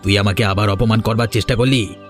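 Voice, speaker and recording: A man's voice: fairly low pitch, steady tone, quick pace, soft background music, natural voice. A man voicing a scheming village council bully, mocking and threatening, in a cartoon. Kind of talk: Bengali moral-story cartoon dubbing.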